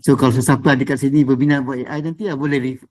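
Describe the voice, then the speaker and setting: A man's voice: medium pitch, steady tone, rapid-fire, darkish tone, a little hiss or hum. A man with a Malaysian accent introducing himself casually on an online call, hesitantly switching between Malay and English. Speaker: webinar participant, company director. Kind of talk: casual self-introduction and chat.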